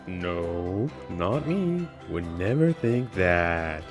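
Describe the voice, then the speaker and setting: A woman's voice: very deep voice, big pitch swings, drawn-out words. A woman giving casual commentary while playing a video game and reading out dialogue choices. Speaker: gamer doing a playthrough. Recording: loud game music behind.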